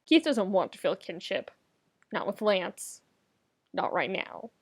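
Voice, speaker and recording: A woman reading comedic fiction aloud as a narrator, with an expressive, wry storytelling delivery. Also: medium pitch, slow pace, slightly rough. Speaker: podfic narrator.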